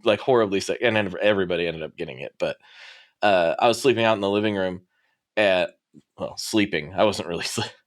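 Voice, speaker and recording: A man's voice: deep voice, brisk. A man hosting a guitar podcast, chatting casually and laughing easily. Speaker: podcast host. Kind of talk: casual chat.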